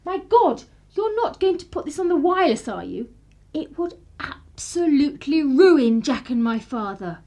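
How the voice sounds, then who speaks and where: A woman's voice: high-pitched, sing-song, normal speed, a little mellow. A young woman with an upper-class English accent playing a spirited, sardonic 1930s vicar's daughter in a radio play, with arch, clipped period phrasing. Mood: indignant, sardonic. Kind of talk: period radio play acting, narration and dialogue.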